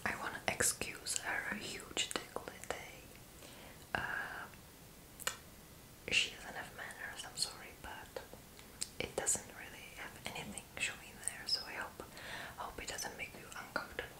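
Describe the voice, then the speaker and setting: Slow whispering young woman, ASMR. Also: poor audio. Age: 20s. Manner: soft, casual, unhurried whispering. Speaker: ASMR creator. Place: small room indoors.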